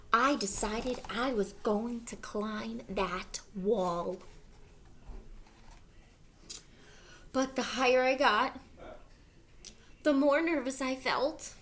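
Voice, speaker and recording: A woman voicing an anxious, wistful egg who tells his own storybook tale in an earnest, expressive way. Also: sits high, a little hiss or hum. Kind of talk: picture-book read-aloud.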